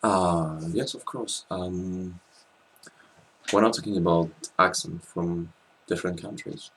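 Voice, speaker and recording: A man in his twenties with a French accent, chatting casually as a guest, hesitant with many pauses and restarts, recorded on a laptop webcam in a small room. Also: low voice, a bit bright, husky, poor audio. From France. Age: 20s. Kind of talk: casual interview chat.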